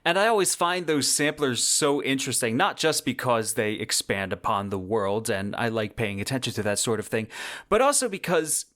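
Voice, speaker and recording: A male podcast host with a mid-pitched voice talks through a semi-scripted commentary. His delivery is conversational and wordy, and he leans on key phrases for emphasis. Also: very animated, normal speed, fairly bright tone.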